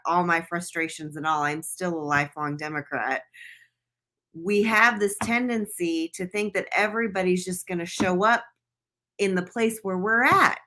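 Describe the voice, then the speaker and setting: A woman's voice: on the low side, pushed and bright. An American woman whose first language was not English, speaking earnestly as a guest on a live political panel. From United States. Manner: earnest, emphatic, with restarts. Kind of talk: live political discussion on a video call.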